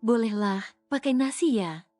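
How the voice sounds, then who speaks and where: A woman's voice: fairly high pitch, lively intonation, measured pace, no room sound. A woman voicing a spirited young wife who talks in a chatty, teasing, colloquial way. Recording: soft music underneath.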